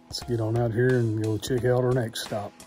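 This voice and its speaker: A young man talks through a woodland hike in an easygoing, practical way, dropping frequent "uh" fillers. He is recorded outdoors on a phone held at arm's length. Faint music sits quietly underneath. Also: medium pitch, moderate pace. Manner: relaxed, practical, many "uh" fillers. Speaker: hiking vlogger. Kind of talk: casual outdoor narration. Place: forest trail outdoors.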